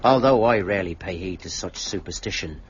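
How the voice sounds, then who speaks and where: An actor playing a sober, uneasy ship's first mate, speaking formally and gravely in a period drama. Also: male voice, low-pitched, a little fast, lightly acted. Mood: grave, brooding. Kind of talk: period radio drama, log narration and dialogue. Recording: dull recording with faint noise.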